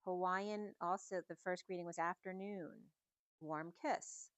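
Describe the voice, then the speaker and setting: Soft, dry-voiced woman musing. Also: lowish voice, steady tone, a little slow, dry recording. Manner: meandering, soft-spoken, musing. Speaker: spiritual reader. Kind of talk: casual channeled message reading.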